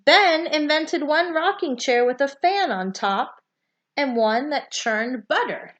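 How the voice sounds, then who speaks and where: A woman with a high voice reads aloud from a children's nonfiction book. Her delivery is that of read-aloud narration for young listeners. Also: animated, slow pace, a bit bright.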